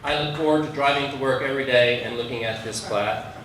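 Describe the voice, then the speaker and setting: A man giving an earnest, measured prepared speech at an outdoor dedication. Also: mid-range voice, steady tone, heavy background noise. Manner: earnest, measured, reading from notes. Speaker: speaker at dedication. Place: outdoor street gathering. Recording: echoey from far off.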